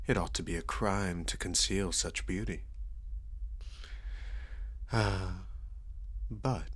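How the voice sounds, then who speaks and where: Man playing a deep, slow, husky vampire lord. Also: a bit bright, no room sound, exaggerated voice. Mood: suave, admiring, then teasing, sinister. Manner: suave, teasing, formal and menacingly polite. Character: sadistic, seductive, courtly male vampire lord. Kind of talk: ASMR roleplay, slow intimate dramatic delivery.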